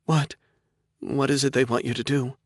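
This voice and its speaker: A man voicing a tearful young woman prisoner who pleads softly through sobs, in an audiobook. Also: medium pitch, little pitch movement, moderate pace, dry recording, studio quality, voice pushed up, lightly acted. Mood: tearful pleading. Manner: soft, pleading, sobbing. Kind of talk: audiobook character voice.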